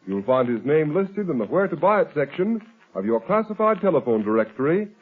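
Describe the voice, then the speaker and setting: A man's voice: fairly high pitch, big pitch swings, a little fast, breathy edge. A man announcing a 1930s radio commercial in an emphatic, formal sales delivery. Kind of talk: 1930s radio advertising read. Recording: old 1930s radio broadcast, narrow band.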